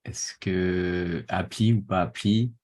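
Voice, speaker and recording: A man's voice: sits low, fairly even intonation, slow pace, darkish tone. A man co-hosting a podcast, welcoming guests and asking questions in a friendly, casual way. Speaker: podcast co-host.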